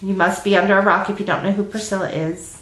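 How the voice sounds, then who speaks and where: A woman's voice: medium pitch, fairly even intonation, fast, fairly bright tone. Congested chatty woman in echoey room. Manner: rambling, chatty with many ums. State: congested with a cold. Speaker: flosstube host. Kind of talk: casual cross-stitch vlog chat. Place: at home, indoors.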